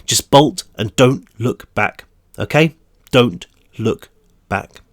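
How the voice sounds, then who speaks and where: A man voicing a hushed, urgent prisoner boy. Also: mid-range voice, slow pace, dry recording, studio quality, lightly acted. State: croaky, losing his voice. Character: young male prisoner boy, secretive and urgent.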